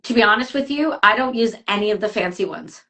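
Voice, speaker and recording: A woman working as a health coach, giving advice in a chatty podcast conversation with quick asides. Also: medium pitch, pushed and bright, slight background noise, low-quality recording. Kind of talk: casual podcast chat.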